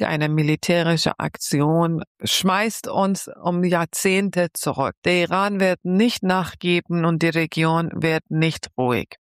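A man giving a measured, insistent statement on human rights as an interview guest, slowing down to stress his points. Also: a little high, clean tone, dry recording, clean studio sound. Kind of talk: earnest political statement.

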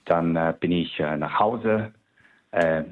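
A man's voice: sits low, takes it slowly. A man from Switzerland, a guest artist, telling his story in an interview with frequent hesitations and restarts. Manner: hesitant, many fillers and restarts. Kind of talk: personal interview account. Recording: tinny phone-like line.

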